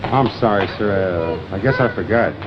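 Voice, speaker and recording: A man's voice: on the low side, moderate pace, natural voice. An actor playing a polite, meek undercover agent passing as a townsman, deferential and hesitant, in 1940s film style. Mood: apologetic. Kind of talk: 1940s training film acting. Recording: muffled vintage film, loud background noise.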